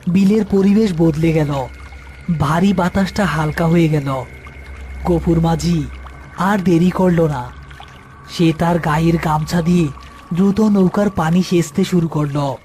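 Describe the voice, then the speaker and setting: A man's voice: fairly high pitch, a little mellow. A man narrating a scripted horror folk tale as storyteller.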